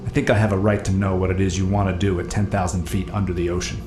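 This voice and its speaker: Actor as wry sub pilot, low echoey voice. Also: male voice, flat delivery, quick pace, natural voice. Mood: sardonic, pressing. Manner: sardonic, matter-of-fact. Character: wry, blunt male mini-sub pilot. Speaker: actor in audio drama. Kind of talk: naturalistic full-cast audio drama dialogue. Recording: echoey cabin sound, music underneath. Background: music.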